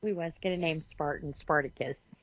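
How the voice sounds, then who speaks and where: A woman's voice: low-pitched, normal speed, no room sound, lo-fi sound. A woman co-hosting a podcast, chatting casually with folksy, informal grammar. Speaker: podcast co-host. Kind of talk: casual chat.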